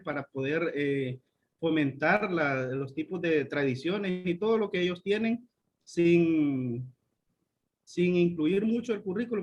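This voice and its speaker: Un hombre hondureño, maestro de escuela, participa en una clase universitaria. Tiene la voz algo aguda y avanza con vacilaciones, rellenando pausas con muletillas. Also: male voice, a little high. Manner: hesitant, many fillers. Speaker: student teacher.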